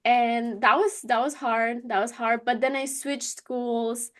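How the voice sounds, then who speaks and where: A woman with a fairly high voice chats on a podcast. Her delivery is informal and conversational, peppered with filler phrases such as "like" and "you know". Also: steady tone, slow, a bit bright. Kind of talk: casual podcast chat.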